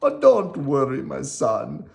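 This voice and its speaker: A man voicing a gentle, loving old male woodcarver in a storybook, in a raised, tender voice. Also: medium pitch, wildly swooping pitch, slow, gravelly, voice pushed up, full character voice.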